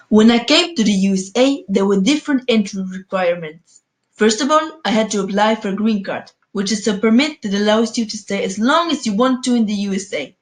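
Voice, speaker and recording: A woman's voice: a little high, close to an everyday voice. A woman voicing an earnest forty-year-old immigrant man. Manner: earnest first-person telling. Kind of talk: read-aloud school presentation. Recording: echoey room.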